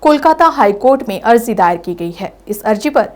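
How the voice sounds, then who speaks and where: A woman reads the news as a bulletin anchor. Her voice sits slightly higher than average for a woman, and she delivers scripted reports. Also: lively intonation, moderate pace, darkish tone.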